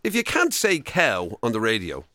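A man's voice: medium pitch, huge pitch swings, moderate pace, sharp tone, no room sound, clean studio sound. An Irish man co-hosting a breakfast radio show, trading comic banter with a wry, mock-serious manner. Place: radio studio.

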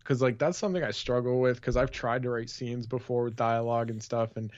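A dull, slightly lo-fi recording with little room sound carries a man chatting as a podcast co-host. He speaks informally at a middle pitch, working out his thoughts in halting phrases. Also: fairly even intonation, darkish tone, no room sound. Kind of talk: casual pop-culture podcast chat.

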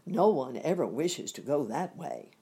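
Woman doing a gate guard, firm, matter-of-fact, low, gravelly. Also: sing-song, unhurried, mellow, full character voice. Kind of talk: storybook reading.

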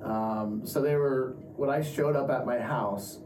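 A man's voice: mid-range voice, unhurried. Man telling rambling stories in an echoey room. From US East Coast. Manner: rambling storyteller, acts out quotes. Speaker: podcast host. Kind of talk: casual chat. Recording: echoey room, mic at a distance, noisy.

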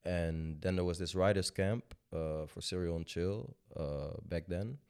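A young man from Sudan with a very low voice talks about his music in a relaxed, meandering way. He fills gaps with "like" and "you know" and laughs easily as the guest on a podcast. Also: measured pace, clean tone. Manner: relaxed, rambling, laughs often, many fillers. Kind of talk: casual interview talk.

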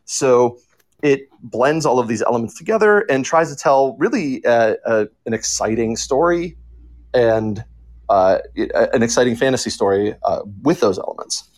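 A man's voice: medium pitch, animated, normal speed. A man speaking informally as a writer on a podcast panel, explaining in an earnest, emphatic way.

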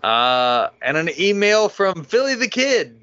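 A man with a bright, forward voice reads listener mail on a casual podcast, loose and chatty. The audio is dull and lo-fi. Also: sits high, very animated, measured pace. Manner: laughs often while reading, loose and chatty. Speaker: podcast co-host reading listener feedback. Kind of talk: casual podcast chat and email reading.

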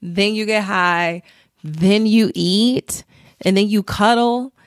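A woman's voice: medium pitch, steady tone, takes it slowly, no room sound, studio quality. A woman hosting a casual chat, playful and giggly, swearing freely. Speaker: host.

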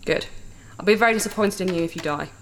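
A woman playing a massive, blunt insectoid alien princess in a sci-fi audio drama, speaking in a formal, deliberate, regal way with odd vowel sounds. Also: fairly low pitch, noisy background, lightly acted. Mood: stern.

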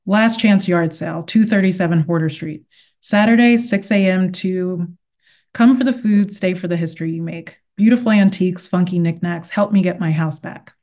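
A woman's voice: lowish voice, moderate pace, a little mellow. A woman author speaking as a guest and reading literary fiction aloud. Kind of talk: interview talk and read-aloud of literary fiction.

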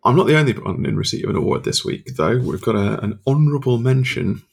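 A man co-hosting a podcast from his home study, talking quietly and chuckling often. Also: fairly low pitch, sing-song, fast talker, creaky, slightly rough. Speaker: podcast co-host. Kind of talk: casual chat.

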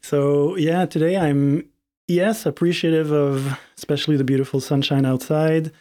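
A man, a podcast guest, speaking in a reflective, halting way as he searches for his words. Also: on the high side, fairly even intonation, dark tone. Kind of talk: casual group podcast chat.

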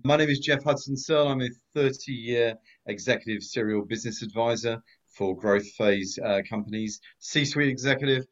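A man in his fifties with a lowish voice speaks formally and with careful wording as a podcast guest. His remote line sounds dull and lo-fi. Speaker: guest, business advisor and author. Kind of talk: podcast interview.

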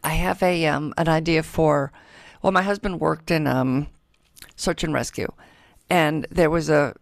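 A woman's voice: low voice, fairly even intonation, unhurried, creaky, dry recording, studio quality. A middle-aged woman hosting in casual chat, animatedly acting out the quotes she retells. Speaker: book show host.

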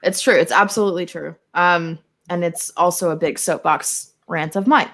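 A woman talks as a guest on a casual podcast. Her voice sits a little low, and she comes across as enthusiastic and agreeable. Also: moderate pace, fairly bright tone.

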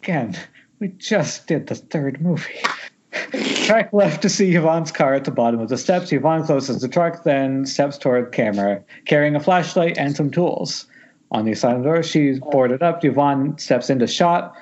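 A man's voice: a little high, expressive, normal speed, a little mellow, slight background noise. A man reading script narration aloud at a table read, with casual asides. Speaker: stage directions reader. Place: remote group voice call. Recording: muffled internet call audio.

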